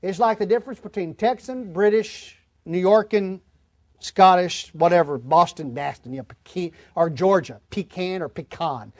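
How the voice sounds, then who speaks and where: Guy preaching bible verses, kinda high voice, folksy and emphatic, really dry sound. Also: moderate pace, dry recording. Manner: emphatic, folksy, plain-spoken, repeats words for emphasis. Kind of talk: Bible-teaching sermon, verse-by-verse reading and explanation.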